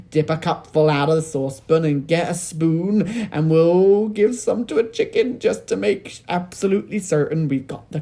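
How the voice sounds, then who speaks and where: A man voicing an excitable, impatient father from a children's storybook, in urgent shouted exclamations. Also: high voice, vocal fry, voice pushed up, exaggerated voice.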